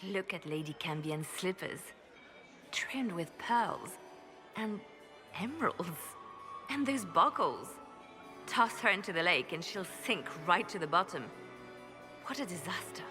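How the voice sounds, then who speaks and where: An actress playing a poised, sly female spymaster in a video game, speaking in a measured, arch, confiding way. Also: fairly low pitch, big pitch swings. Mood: arch, disdainful. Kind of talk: video game dialogue acting. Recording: music behind the voice.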